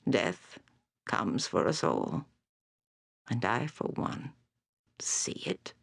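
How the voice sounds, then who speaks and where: Elderly female seer, fantasy game, husky aged voice, solemn, portentous, weary, very dry close sound. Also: extremely low pitch, big pitch swings, dry recording, heavily acted. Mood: resigned, solemn. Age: older. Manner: slow, grave, portentous. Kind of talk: dramatic fantasy game voice acting.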